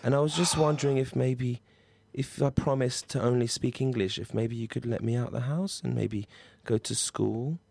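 A man's voice: medium pitch, fairly even intonation, normal speed, vocal fry, few pauses, a little mellow, no room sound. A man playing an earnest, sheltered nine-year-old son in improvised comedy, reasoning hesitantly and stumbling over his words. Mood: hesitant, pleading. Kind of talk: improvised comedy role-play.

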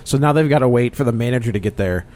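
A man's voice: mid-range voice, lively intonation, fast talker, darkish tone. A man co-hosting a casual movie review podcast, rambling through plot recaps and laughing often. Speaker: podcast co-host.

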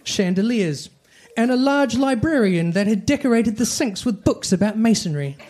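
A man's voice: sits high, expressive, moderate pace, a little mellow. A man reading comic fiction aloud like a storybook, with dramatic delivery. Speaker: reader of comic fan fiction. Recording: faint background noise and clicks.

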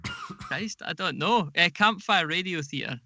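A man's voice: sits high, animated, slow, bright, forceful tone, no echo, clean studio sound. A man chatting casually as a podcast guest, informal and dryly humorous. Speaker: podcast guest, audio drama producer. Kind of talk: casual interview chat.

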